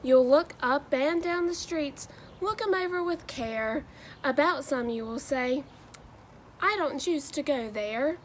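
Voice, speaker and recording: A woman, reading aloud to children in a deliberate, sing-song storybook way. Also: high voice, animated, slight background noise. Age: 20s. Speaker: new education graduate reading a children's book.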